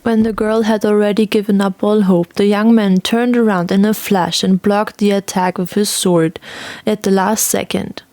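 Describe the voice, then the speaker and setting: A woman's voice: medium pitch, flat delivery, normal speed, darkish tone, no echo. A woman narrating a fantasy audio story in a dramatic, scripted storytelling style.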